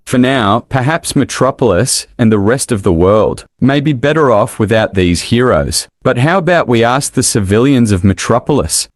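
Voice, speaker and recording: A man's voice: medium pitch, lively intonation, moderate pace, few pauses, no echo, clean studio sound, close to an everyday voice. A man playing a grave, opinionated TV news anchor in an audio drama, with a formal, editorializing delivery. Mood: grave disapproval.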